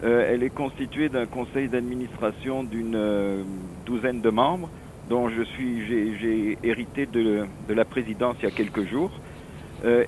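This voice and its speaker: A mature man with a French accent from France speaks as an interview guest over the telephone, with faint noise on the line. His explanations are halting and digressive, in a mid-pitched voice. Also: a little mellow, poor audio. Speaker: association president, interview guest. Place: office, on the phone.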